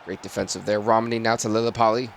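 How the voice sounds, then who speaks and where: Raspy male football game streamer. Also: on the low side, flat delivery, quick pace, slight background noise. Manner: play-by-play sportscaster phrasing. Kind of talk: live football game commentary and stream chat.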